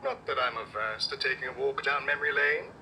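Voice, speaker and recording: An actor voicing an ancient, chivalrous robot knight captain in a video game, with a pompous, florid, archaic way of speaking. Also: male voice, mid-range voice, a little fast, pushed and bright, a little hiss or hum. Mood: grandly courteous. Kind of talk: theatrical video game voice acting.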